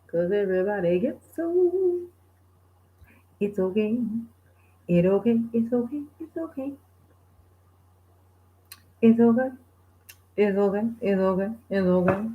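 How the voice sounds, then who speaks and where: A woman in her forties hosting a livestream, chatting casually with viewers, laid-back and quick to laugh. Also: mid-range voice, animated, phone-quality sound. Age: 40s. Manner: laid-back, laughs often, sings bits. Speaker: livestream host. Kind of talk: casual chat reading viewer comments.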